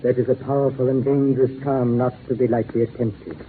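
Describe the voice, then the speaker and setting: A man's voice: medium pitch, flat delivery, lightly acted. Man acting an old, solemn mystic sorcerer. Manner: formal, solemn, measured. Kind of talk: 1940s radio acting. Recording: noisy narrow-band 1940s radio recording.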